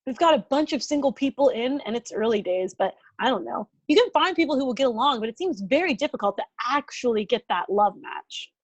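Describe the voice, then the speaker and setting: Woman acting high, animated, perky matchmaker. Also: brisk, few pauses, dry recording, highs cut off. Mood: chatty, hopeful. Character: Maggie, perky, sincere female rival matchmaker. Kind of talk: sitcom table read acting.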